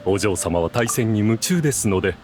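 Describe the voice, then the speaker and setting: A loyal raven attendant from an anime game, acted by a man, formal and deferential. He delivers his courteous lines quickly over faint music. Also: lowish voice, lively intonation, a little mellow, lightly acted. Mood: dignified, polite. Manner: polite, formal, servile. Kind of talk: Japanese anime game voice acting.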